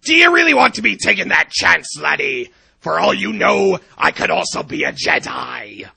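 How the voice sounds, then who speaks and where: A man's voice: high voice, sing-song, moderate pace, creaky, bright, forceful tone, breathy edge, full character voice. A man voicing a cocky, drunken pirate merchant in pirate slang for a comic video game parody. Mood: teasing, boastful. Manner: pirate slang, growled arrs.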